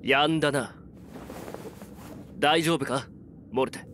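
A man's voice: on the high side, steady tone, takes it slowly, a bit bright, a little hiss or hum. A man playing a cool, resolute young adventurer in a voice drama, terse, dry and composed. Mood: wary. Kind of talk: Japanese voice drama acting.

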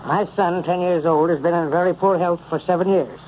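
A man reading a testimonial letter in a formal, measured way for a 1930s radio ad. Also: sits high, steady tone, slight background noise. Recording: tinny old radio transcription.

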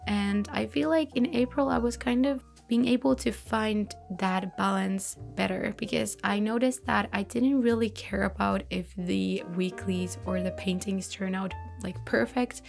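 Creaky-voiced woman narrating her journaling. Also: mid-range voice, unhurried, hardly any pauses. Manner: relaxed, chatty, self-reflective, light laughs. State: voice fading, slightly hoarse. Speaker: bullet journal creator. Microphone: voice-over recorded separately. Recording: soft background music.

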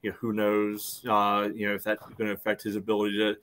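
A man's voice: fairly low pitch, completely flat delivery, fast, a bit bright. A man co-hosting a casual soccer podcast over a video call, peppering his speech with "you know". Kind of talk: casual sports discussion.

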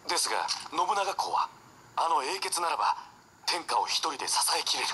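An actor playing a polite, composed warrior husband in a video game, smoothly apologetic. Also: male voice, fairly high pitch, animated, normal speed, bright, forceful tone, slight background noise. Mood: composed, questioning. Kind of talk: Japanese video game cutscene acting.

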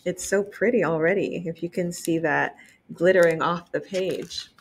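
A young woman librarian reading a picture book aloud for children, enthusiastic and gently expressive, stopping to remark on the pictures. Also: on the low side, normal speed, a little hiss or hum. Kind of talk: storytime read-aloud.

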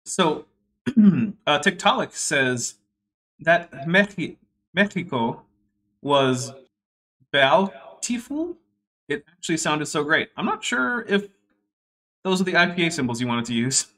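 Young man, livestream host, wry, chatty, bright crisp voice, home desk mic. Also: on the high side, sing-song, slow, long pauses. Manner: chatty, wry, reading super chats aloud. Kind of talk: casual livestream commentary.

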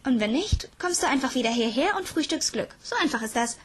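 A woman's voice: high voice, expressive, fairly quick, husky. A woman voicing a cheerful, encouraging girl in an audiobook, playful and reassuring. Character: cheerful, encouraging girl who grants happiness. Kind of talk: audiobook character dialogue.

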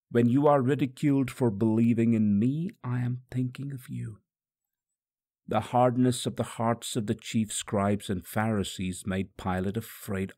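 A man delivers dramatic religious narration in the first person. His voice is gravelly and unhurried, with a solemn, emphatic delivery. The recording is dry and close. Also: lowish voice, dark tone, no room sound. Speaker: audiobook narrator.

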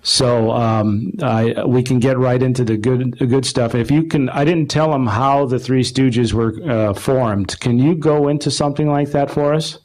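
A man interviewing a guest for a club meeting in an informal, halting, stammering way. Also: medium pitch, steady tone, darkish tone, poor audio.

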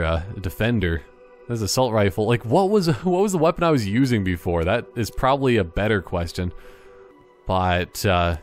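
A man with a fairly low voice talks through a video game in a casual, joking way. He thinks out loud and drifts into tangents, with music in the background. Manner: rambling, thinking aloud in tangents. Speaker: gaming YouTuber. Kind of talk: casual, jokey gameplay commentary.

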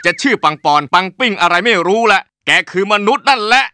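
A man's voice: high-pitched, lively intonation, quick pace, very noisy. A man voicing a hot-tempered, scheming and bumbling male deity in a cartoon, blustering and gloating with many exclamations. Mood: angry. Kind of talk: exaggerated cartoon dubbing. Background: cartoon music.

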